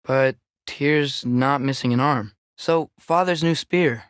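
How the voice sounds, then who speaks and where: A man's voice: mid-range voice, takes it slowly, clear, resonant tone, dry recording, clean studio sound, natural voice. A young man playing a curious, earnest teenage boy in a Norse myth video game, talking in a natural, slightly hesitant way.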